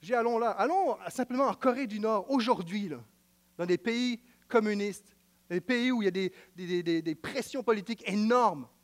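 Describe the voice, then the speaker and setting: A man preaching with a Quebec French accent, his voice sitting high. He hammers home repeated phrases. He swings from loud proclamation to hushed, intimate asides. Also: normal speed. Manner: emphatic, repetitive, swings between loud declaiming and quiet intimacy. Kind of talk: sermon.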